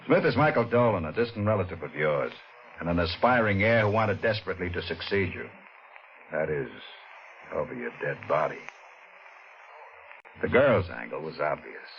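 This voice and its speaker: A silky sinister schemer. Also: male voice, lively intonation, moderate pace, pauses often. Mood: sly. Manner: silky, menacing, drops to a low murmur. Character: smooth sinister older male schemer. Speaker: scheming villain. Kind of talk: 1940s radio acting. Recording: dull noisy old radio recording.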